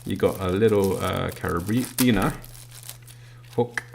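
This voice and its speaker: Low gravelly British man unboxing. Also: slow pace, slight background noise. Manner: relaxed, filler-heavy, talks while handling items. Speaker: product reviewer. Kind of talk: casual unboxing walkthrough.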